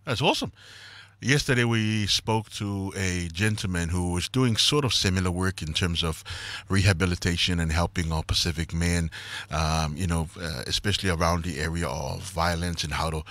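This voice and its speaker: A man's voice: deep voice, normal speed, a bit bright, no room sound. A man hosting a morning radio interview in an easygoing, chatty way. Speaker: radio morning show host.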